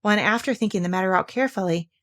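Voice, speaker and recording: A woman reading a children's storybook aloud as an expressive narrator. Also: medium pitch, fast talker. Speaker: storybook reader.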